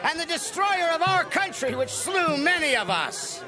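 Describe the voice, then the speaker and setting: Gloating ancient reveler, extremely high, jeering shouts amid crowd clamor and music, scripture drama. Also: male voice, big pitch swings, normal speed. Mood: gloating triumph. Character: gloating Philistine reveler exulting over a captured enemy.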